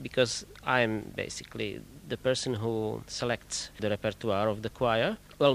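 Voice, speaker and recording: Choir director guy, careful formal answers, mid pitch. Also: a bit bright. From Czech Republic. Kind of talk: interview answers.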